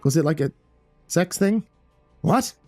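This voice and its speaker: A man's voice: very animated, moderate pace, darkish tone, no echo, soft background music. A man voicing a flustered young man who stammers and yelps in alarm. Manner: stammering, high-pitched outbursts.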